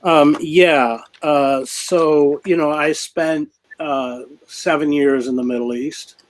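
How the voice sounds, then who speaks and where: A man answering an interviewer's questions as a journalist guest, speaking in a grave, measured way with many fillers and restarts. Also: a little high, steady tone.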